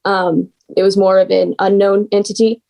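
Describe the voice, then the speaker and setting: A young woman explains her writing in a casual way. Her voice is creaky and bright, with very little rise and fall. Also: mid-range voice, level tone, normal speed. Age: teen or young adult. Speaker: young scriptwriter.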